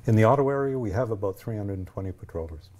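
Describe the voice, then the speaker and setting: A man in his sixties talks in a low-pitched, soft-edged voice. He explains things as an interview guest on a lapel mic in a TV studio. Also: animated, racing. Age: 60s. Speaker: ski patrol leader, interview guest. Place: TV studio, indoors.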